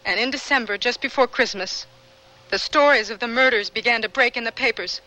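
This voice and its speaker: An actress playing a troubled young woman with a guilty past, confessing earnestly and haltingly in a radio drama. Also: a little high, bright, forceful tone, low-quality recording. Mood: haunted, intense.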